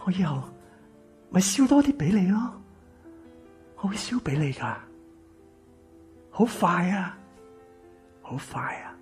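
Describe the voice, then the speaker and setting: A man acting a bitter, exploited grown son in a radio drama, with a Hong Kong Cantonese accent and an emotional, breathy delivery. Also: high voice, big pitch swings, slow, long pauses, exaggerated voice. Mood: mocking, menacing. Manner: emotional, stammering, swinging from shouts to whispers. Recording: music behind the voice.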